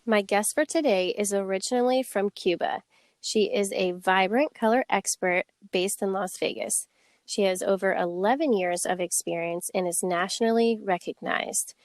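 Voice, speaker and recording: A woman hosting a podcast, introducing her guest and reacting with quick interjections. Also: mid-range voice, no echo. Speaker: podcast host.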